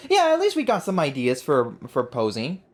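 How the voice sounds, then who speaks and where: A woman giving casual, excitable, slangy commentary as she hosts a game playthrough. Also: sits low, normal speed, very noisy. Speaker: gaming video host. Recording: game music behind.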